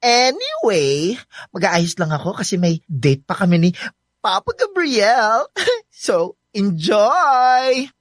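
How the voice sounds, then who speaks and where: An actress playing a chatty, teasing young friend in a radio drama, with a playful, theatrical delivery and a Filipino accent. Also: female voice, medium pitch, very animated, takes it slowly, dry recording, clean studio sound.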